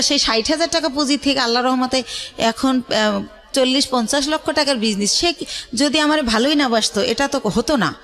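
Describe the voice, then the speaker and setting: A middle-aged woman with a Bangladeshi accent, telling her life story haltingly as a guest in a radio interview. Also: high-pitched, fairly bright tone.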